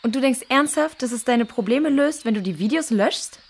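A woman playing a kind, level-headed girl who reasons calmly and earnestly in a children's audio drama. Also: sits high, moderate pace, breathy edge, full character voice. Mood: firm, advising.

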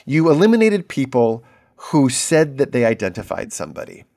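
A man's voice: mid-range voice, sing-song, normal speed, gravelly. Man posing long probing questions. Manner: probing, builds long hypotheses out loud. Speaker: interviewer host. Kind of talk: interview questions.

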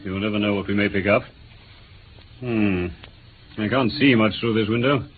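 An actor playing a dry, matter-of-fact police inspector with an English accent in a mid-century radio play. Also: male voice, on the low side, steady tone, moderate pace, close to an everyday voice. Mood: practical, watchful. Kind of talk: mid-century British radio drama acting. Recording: tinny, hissy old radio recording.

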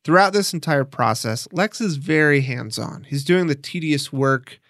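A man's voice: on the high side, lively intonation, normal speed, fairly bright tone, no echo, studio quality. A man hosting a podcast, reading scripted documentary narration in an instructional way with emphatic signposting. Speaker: podcast host.

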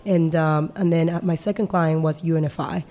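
Low-voiced woman, earnest explainer. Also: fairly even intonation, moderate pace, mellow. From Peru. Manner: earnest, explains at length with many fillers. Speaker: guest, company founder. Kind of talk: podcast interview.

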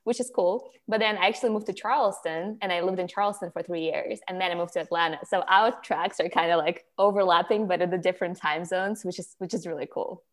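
A woman's voice: on the low side, fairly even intonation, fast, few pauses. A woman. She hosts an interview podcast and speaks in a conversational way, as someone who grew up in Ukraine. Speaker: podcast host.

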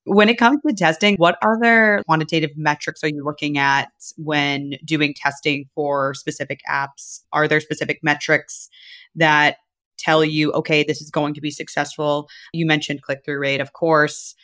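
A woman's voice: deep voice, steady tone, normal speed, fairly bright tone, dry recording. A woman hosting a podcast interview, asking curious, conversational questions. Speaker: podcast host.

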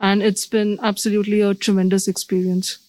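A woman's voice: medium pitch, level tone, brisk, a little mellow, dry recording. Composed woman panelist. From India. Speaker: MBA student panelist. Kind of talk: panel discussion.

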